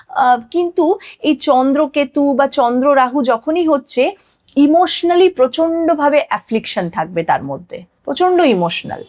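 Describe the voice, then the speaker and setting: Female podcast expert, higher-pitched, didactic and emphatic, code-switches into English. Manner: didactic, emphatic repetition, mixes in English phrases. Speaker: astrologer guest on a podcast. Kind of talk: explanatory podcast interview talk.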